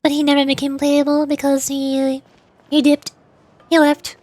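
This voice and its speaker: A woman's voice: high voice, monotone, moderate pace, darkish tone, no echo. A woman streaming a game, chatting casually and playfully with her viewers. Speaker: VTuber streamer. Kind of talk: casual live-stream gameplay chat. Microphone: desk microphone.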